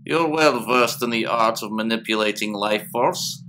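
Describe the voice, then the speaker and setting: A man playing a cold, condescending military doctor, an audio drama villain with a measured, patronizing delivery. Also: on the low side, bright, forceful tone, slight background noise. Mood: cold, smug. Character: cold, condescending, sinister military doctor who manipulates corpses.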